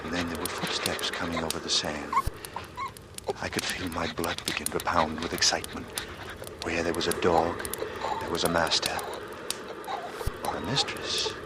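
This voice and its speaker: Actor as low-voiced brooding killer, noisy old radio. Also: male voice, level tone, normal speed, pauses often, close to an everyday voice. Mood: tense, excited. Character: brooding, secretly murderous man, bitter and falsely casual. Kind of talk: 1940s radio drama acting, first-person narration and dialogue.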